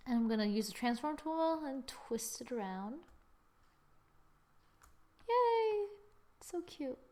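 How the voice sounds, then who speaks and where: Higher-pitched woman, casual tutorial host. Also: sing-song, slow, dark tone. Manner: informal, thinking aloud while working, often trailing into murmurs. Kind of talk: casual software drawing tutorial. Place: screen-recording voice-over.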